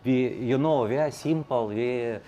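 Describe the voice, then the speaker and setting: A male channel host in casual chat, speaking halting non-native English with many fillers and a native Russian background. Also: medium pitch, mellow, muffled recording, a little hiss or hum.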